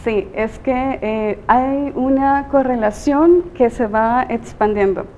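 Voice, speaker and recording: A woman's voice: on the high side, takes it slowly, mellow, slight background noise. A woman teacher with a Mexican Spanish accent, answering interview questions in a thoughtful, explanatory way with frequent hesitations. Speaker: teacher and guest.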